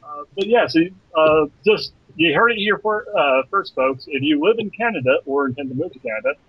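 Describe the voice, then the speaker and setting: Husky-voiced male host on a dull call. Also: fairly high pitch, expressive, a little fast, no room sound. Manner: formal, long-winded questions with hesitations. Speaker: podcast host. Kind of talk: casual interview questions. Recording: dry muffled call audio.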